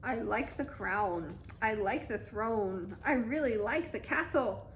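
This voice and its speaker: An excitable young prince from a children's storybook, voiced by a woman reader. The voice sits fairly high and declaims in exclamations, eager and easily exasperated. The delivery is markedly unhurried, with faint noise on the recording. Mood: fed up.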